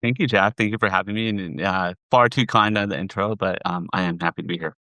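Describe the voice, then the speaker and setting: Deep-voiced middle-aged American man. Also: steady tone, fast talker, sharp tone, dry recording. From southern California, USA. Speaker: interview guest, finance executive. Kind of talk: conversational interview answers.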